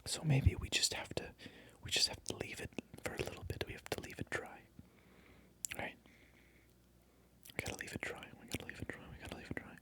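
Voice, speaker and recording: A man's voice: fairly quick, fairly bright tone. A man whispering through a comedic ASMR role-play, mock-indignant and repeating his phrases over and over. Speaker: ASMR creator.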